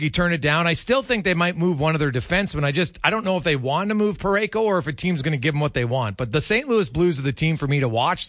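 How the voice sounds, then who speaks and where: A man's voice: on the high side, bright, forceful tone, no echo, studio quality. A man giving opinionated, conversational hockey analysis as a guest, speaking off the cuff with emphasis. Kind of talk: unscripted hockey talk.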